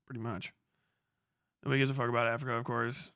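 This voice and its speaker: A man's voice: medium pitch, level tone, fast talker, creaky, a bit bright, smooth, dry recording, studio quality. A man hosting a livestream, ranting casually and reading chat with profane sarcasm, often acting out mock exchanges. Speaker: livestream host.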